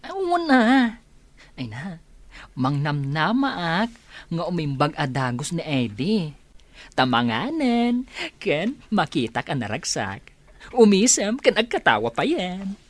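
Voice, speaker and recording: A woman's voice: lowish voice, big pitch swings, slow, no room sound, exaggerated voice. A woman with a Filipino accent acting a caring, hopeful sibling in a radio drama.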